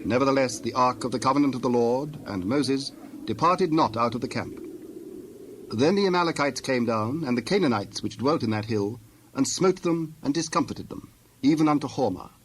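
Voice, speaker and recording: A man's voice: mid-range voice, moderate pace, a little hiss or hum, low-quality recording. A man narrating scripture from a script in a formal, solemn manner. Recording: faint outdoor ambience with birds.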